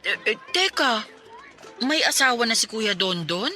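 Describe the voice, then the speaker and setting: A woman's voice: fairly high pitch, expressive, measured pace, sharp tone, soft background music. An actress in her twenties playing a polite, curious young housemaid from the province in a radio drama. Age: 20s. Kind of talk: Tagalog radio drama acting.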